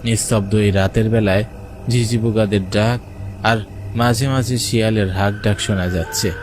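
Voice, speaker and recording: Man playing a low-voiced poor village fisherman narrator. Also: fairly even intonation, moderate pace, slight background noise, natural voice. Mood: plain storytelling. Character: poor, hardworking village fisherman telling his own tale. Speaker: narrator and lead character. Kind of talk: first-person cartoon story narration with acted dialogue.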